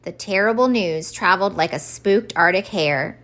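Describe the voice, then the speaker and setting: A woman reading aloud scripted narration from a children's storybook in an expressive story time style. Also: on the low side, normal speed.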